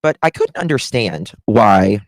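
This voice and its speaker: A man hosts a casual livestream in a slightly low voice. He talks in a chatty, halting way and often repeats a phrase to stress it. The sound is very dry, with little trace of the room. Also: big pitch swings, dry recording. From Southern United States. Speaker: livestream host.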